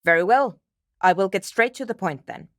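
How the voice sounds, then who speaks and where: A woman acts out a no-nonsense radio engineer for a video game. She is frank and precise, with formal, matter-of-fact phrasing. Her mid-pitched voice moves with lively intonation in a dry, echo-free recording. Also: normal speed, close to an everyday voice. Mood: brisk, businesslike. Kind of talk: scripted video game character dialogue.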